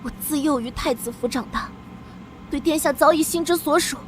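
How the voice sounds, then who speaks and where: Woman doing a jealous maid in a cartoon, resentful and pleading, high voice. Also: moderate pace, slight background noise, lightly acted. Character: jealous young palace maid turned consort.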